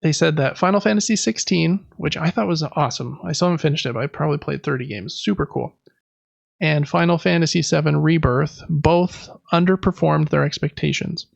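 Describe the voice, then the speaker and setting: A man's voice: a little high, a little fast, vocal fry, highs cut off. A man hosting a podcast, giving casual news commentary in an informal, conversational way. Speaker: podcast host.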